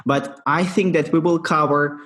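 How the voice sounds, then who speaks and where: A man hosting an interview podcast, speaking English as a second language with halting, non-native phrasing. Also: fairly high pitch, steady tone, fairly bright tone. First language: not English. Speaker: podcast host.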